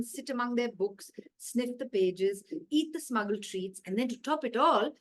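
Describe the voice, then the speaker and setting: A woman with an Indian accent reading a children's story aloud in a measured, expressive way, with dramatic emphasis and pauses. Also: medium pitch, rough recording.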